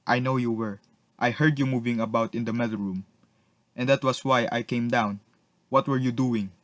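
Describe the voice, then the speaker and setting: A man's voice: mid-range voice, fairly even intonation, moderate pace, lightly acted. A man playing a cold, suspicious lord and husband in an amateur radio drama, haughty, sarcastic and scolding.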